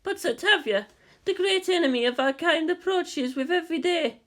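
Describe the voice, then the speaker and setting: Storybook role: anxious, guilt-laden nun, very high and mournful, halting and formal , performed by a man. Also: fairly bright tone. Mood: mournful, contrite. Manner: slow, formal, apologetic, stammering. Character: timid, mournful, guilt-ridden Buddhist nun.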